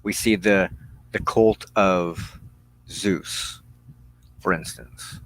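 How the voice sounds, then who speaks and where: A man giving a spiritual teaching monologue as a broadcast host, solemn and deliberate, speaking in halting phrases with pauses. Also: low-pitched, a bit bright. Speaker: religious teacher hosting a broadcast on witchcraft.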